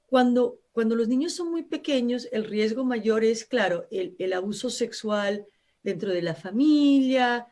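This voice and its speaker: A woman with a Brazilian accent, a native Portuguese speaker, talks through a live radio interview as the invited expert. Her voice sits a little high, and she explains earnestly, often restarting her phrases and padding them with small fillers. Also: measured pace, darkish tone. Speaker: guest expert.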